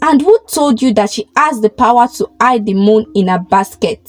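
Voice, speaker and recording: A woman voicing a kindly, elegant and commanding queen in a folktale, gentle and assured. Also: a little high, animated, moderate pace, soft background music, lightly acted. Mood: stern.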